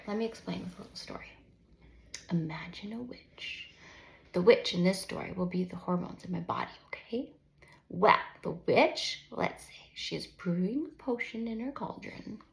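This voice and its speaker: A tender storybook mother read by a woman explains patiently to little children while sounding a touch overwhelmed. Her pitch moves freely, with creaky edges on her words, in a roomy echo.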